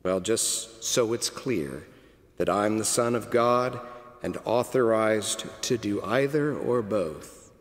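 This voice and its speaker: A middle-aged man preaching a sermon from a pulpit, telling the story slowly and deliberately with dramatic pauses. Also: fairly low pitch. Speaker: cathedral dean preaching. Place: large stone cathedral, at a pulpit. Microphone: pulpit microphone. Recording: long cathedral reverb.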